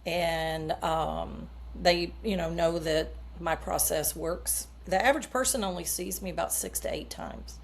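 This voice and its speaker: A middle-aged woman speaks as an interview guest, explaining her coaching work in an earnest, down-to-earth way. Her voice sits low with a gravelly, fry-tinged texture. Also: lively intonation, unhurried, few pauses, a little hiss or hum. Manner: earnest, explains with homely metaphors. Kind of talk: podcast interview answers.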